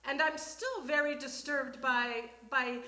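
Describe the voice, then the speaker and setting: A woman performing a comic personal monologue on stage, in a halting, conversational way with dramatic pauses. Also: high voice, fairly even intonation, sharp tone. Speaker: reader at a live storytelling show. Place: small theatre stage. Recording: echoey live stage recording.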